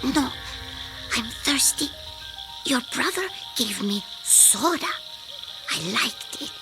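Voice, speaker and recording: A woman's voice: high voice, lively intonation, takes it slowly, over music, poor audio. A woman playing a tiny, childlike enchanted princess in an audio drama, pleading simply and repetitively. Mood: insistent, pleading. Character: tiny two-inch childlike enchanted princess, thirsty and insistent.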